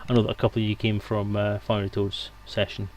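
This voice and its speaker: A man's voice: fairly low pitch, completely flat delivery, fast, a little mellow. A man hosting a live stream in casual, unscripted, rambling chat.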